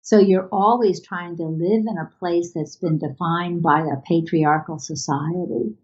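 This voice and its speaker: Low-voiced woman on a dull video call. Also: fairly even intonation, measured pace, a little mellow. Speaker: interviewed video artist. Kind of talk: reflective interview answers.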